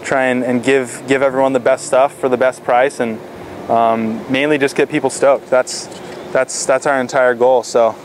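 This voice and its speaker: A teenage man answering interview questions in a relaxed, casual way, with frequent fillers and restarts. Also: mid-range voice, normal speed, a little hiss or hum. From Florida. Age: teens. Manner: laid-back, lots of fillers and restarts. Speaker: stand-up paddle athlete.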